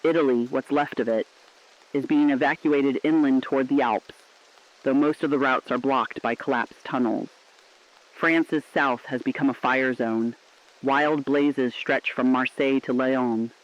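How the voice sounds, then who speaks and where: A man playing a somber, resolute radio correspondent in a scripted doomsday broadcast, speaking deliberately and poetically. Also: medium pitch, fairly even intonation, close to an everyday voice. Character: somber, resolute radio co-anchor reporting civilization's collapse. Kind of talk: scripted dramatic apocalyptic news broadcast. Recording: slightly dull, dry studio sound.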